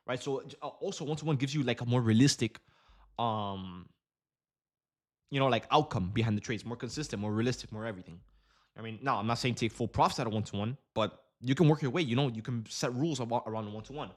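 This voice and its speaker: A man's voice: medium pitch, quick pace. A young man talks through his trades on a livestream in a loose, slangy way. He keeps breaking off into fillers and restarts while he explains, never settling into a set pace. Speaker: day trading streamer. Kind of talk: casual livestream chat while trading.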